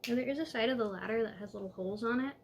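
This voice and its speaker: A woman walking viewers step by step through a toy building set in a calm, instructive way, a little hoarse from losing her voice. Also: a little high, very fast, slight background noise.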